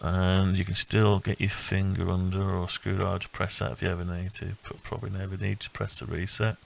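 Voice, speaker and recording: A man giving a casual, unscripted walkthrough of a hobby build, thinking aloud in a halting, rambling way. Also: deep voice, flat delivery, creaky. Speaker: electronics hobbyist presenting own build.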